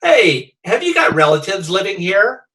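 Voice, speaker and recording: Man acting eager radio salesman, high bright voice, chummy, earnest patter, a little far from the mic. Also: sing-song, moderate pace, full character voice. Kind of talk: old-time radio pitchman acting. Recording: distant from the microphone.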